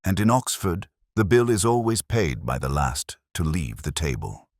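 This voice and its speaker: A man plays a melancholy older police inspector with an exceptionally deep voice. His formal, wry narration moves expressively up and down in pitch. The sound is dry and close, as in an audio detective drama, with every reflection measured and brooding. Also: big pitch swings, darkish tone, no room sound. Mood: melancholy, reflective. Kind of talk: scripted first-person literary narration.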